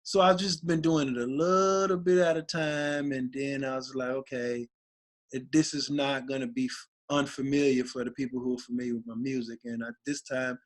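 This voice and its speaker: A man, a rapper guest, talking casually and excitedly in an interview, rambling with many restarts. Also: mid-range voice, moderate pace. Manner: animated, rambling, voice gets raspy when excited.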